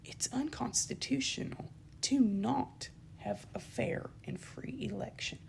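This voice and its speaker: A young woman with a dry throat gives an indignant, emphatic campaign statement, often pausing with ums and correcting herself. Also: fairly high pitch, creaky, in a whisper. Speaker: channel host giving a campaign statement. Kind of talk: unscripted spoken statement to camera.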